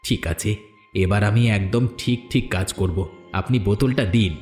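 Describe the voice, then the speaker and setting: A man's voice: fairly low pitch, a little slow, a little mellow, lightly acted. An actor playing a reluctant, greedy village henchman, hesitant and complaining, in a fairy-tale cartoon. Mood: grudging. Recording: echoey studio dub with background music.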